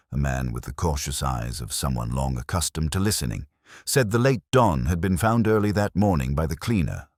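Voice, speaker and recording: A man playing a brooding, world-weary, literary police detective, narrating his story in a measured, solemn, wry manner. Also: very deep voice, no echo, studio quality, full character voice. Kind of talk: scripted first-person detective story narration.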